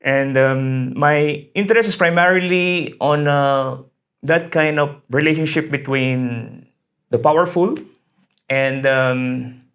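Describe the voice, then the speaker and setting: A man's voice: mid-range voice, slow, a bit bright. A middle-aged man from the Philippines, an academic being interviewed, talking in a hesitant way with many fillers and restarts. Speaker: interview guest, history academic. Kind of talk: conversational interview.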